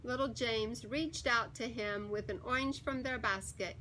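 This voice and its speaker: A woman storyteller with a high, bright voice reads a picture book to young children. She takes her time, leaning on key words and pausing for dramatic effect. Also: a little hiss or hum, rough recording. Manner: theatrical, emphatic, with dramatic pauses. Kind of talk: expressive read-aloud for young children.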